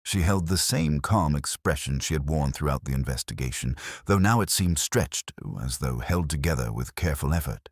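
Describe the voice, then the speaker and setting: A man's voice: extremely low pitch, normal speed, few pauses, no room sound, clean studio sound. A man reading scripted, dramatic detective fiction as the narrator.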